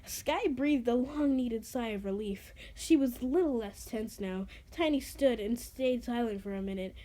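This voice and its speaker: A woman's voice: fairly high pitch, moderate pace, warm tone, slight background noise. A woman reading an amateur roleplay story in a playful, theatrical way, with self-mocking asides. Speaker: reader of own creative writing.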